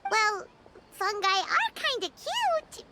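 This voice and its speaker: A woman voicing a small, excitable, fairy-like girl companion, chirpy and helpful, in an English game dub. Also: extremely high pitch, takes it slowly, very noisy, full character voice. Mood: curious. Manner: refers to self in third person, exclamatory. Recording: game music behind the voice.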